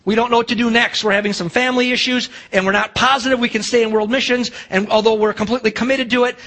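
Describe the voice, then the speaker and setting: A man's voice: very high-pitched, steady tone, fast talker, sharp tone. A middle-aged man with an American accent preaching a sermon as a pastor, emphatic, posing rhetorical questions and acting out dialogue. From United States.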